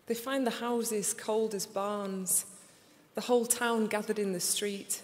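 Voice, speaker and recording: A young woman with a Sheffield accent reading her poems aloud in a slow, deliberate recital before an audience. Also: medium pitch, fairly even intonation. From England. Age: young adult. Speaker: poet. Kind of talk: poetry reading. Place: large concert hall. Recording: live reading in a reverberant hall.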